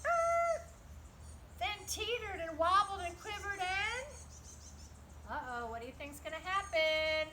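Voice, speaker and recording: A woman reading a picture book aloud to children in an animated, teacherly way, with emphatic delivery and explanatory asides. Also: very high voice, takes it slowly, pauses often, noisy background. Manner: animated, emphatic, teacherly, asks listeners questions.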